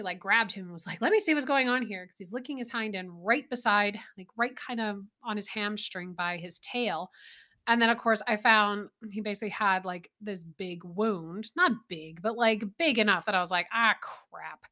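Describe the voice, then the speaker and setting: A chatty woman in her thirties hosts her own podcast, rambling freely with exasperated asides. Her voice is bright and forward, with a mid-range pitch for a woman. She sounds relaxed and conversational throughout. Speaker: podcast host. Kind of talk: casual solo podcast chat.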